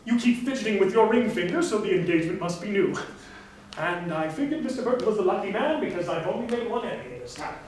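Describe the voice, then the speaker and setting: A man's voice: high-pitched, expressive, quick pace, slight background noise. A man playing a sly, grandiloquent old carnival doctor in a stage musical comedy, with flowery wording. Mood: sly, assured. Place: live staged reading before audience. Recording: echoey stage, distant mic, faint music.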